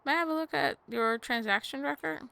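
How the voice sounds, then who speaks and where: A woman's voice: high voice, fairly quick, gravelly, bright, forceful tone. A woman gaming YouTuber casually reacting and reading aloud during gameplay.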